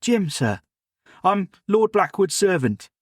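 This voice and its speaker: A man's voice: high-pitched, sing-song, slow, dry recording, studio quality, voice pushed up, heavily acted. A man doing an eager young servant boy. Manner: earnest, deferential, keen.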